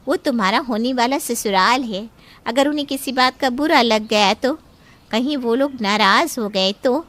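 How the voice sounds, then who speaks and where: An appeasing middle-aged mother in a storybook family drama, acted by a woman. Her tone is bright. She coaxes and cautions anxiously. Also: on the high side, normal speed, natural voice. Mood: anxious, coaxing. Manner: coaxing, cautioning. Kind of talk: dramatised Hindi story acting.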